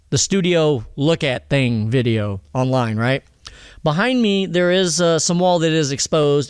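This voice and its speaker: Chatty man with a smooth, thin voice. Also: a little high, no room sound, studio quality. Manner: chatty, rambling, frequent "all right?" and "okay?". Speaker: music producer teaching vocal mixing. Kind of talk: casual tutorial explanation. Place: home studio.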